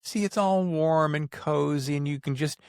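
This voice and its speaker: A man's voice: a little high, moderate pace, darkish tone, smooth, dry recording, clean studio sound. A man hosting a podcast interview in a casual, informal and enthusiastic way. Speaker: podcast host.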